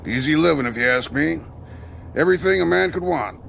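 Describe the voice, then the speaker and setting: An actor in a radio drama playing an easygoing long-haul truck driver with a gravelly voice and folksy, casual talk. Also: male voice, on the high side, a little fast, fairly bright tone, a little hiss or hum. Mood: breezy, chatty.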